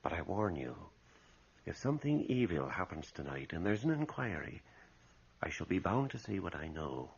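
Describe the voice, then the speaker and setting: An actor in an old-time radio drama playing a grave, anxious priest who speaks in an earnest, cautioning way. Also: male voice, sits low, sing-song, normal speed, creaky, slightly rough, heavily acted. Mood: worried, firm. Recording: muffled lo-fi old radio broadcast.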